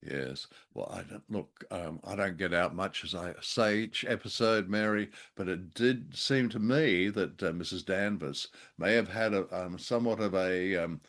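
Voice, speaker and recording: An older man co-hosting a casual discussion of film and radio drama, with a droll, halting, courteous manner. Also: low-pitched, a bit bright. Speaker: podcast co-host.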